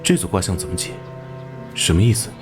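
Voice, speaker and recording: An actor playing a hot-tempered police squad captain, brusque and businesslike, in a Chinese animated drama. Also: male voice, low-pitched, quick pace, music in the background. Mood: probing.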